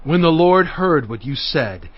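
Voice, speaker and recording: A man's voice: on the high side, big pitch swings, measured pace. A man playing an impassioned leader who recounts and admonishes, oratorical and solemn, in dramatized scripture. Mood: impassioned, admonishing. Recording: dull audio with music underneath.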